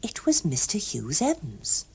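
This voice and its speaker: Female audiobook narrator, English accent: lowish, dark-toned voice, expressive scripted storytelling. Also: measured pace, breathy edge.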